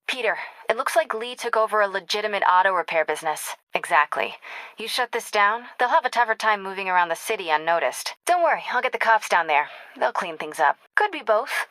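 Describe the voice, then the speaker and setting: An American actress playing a young woman reporter in a video game, caring, wry and quick-witted, in natural conversational dialogue. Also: mid-range voice, bright, forceful tone, no echo. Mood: teasing.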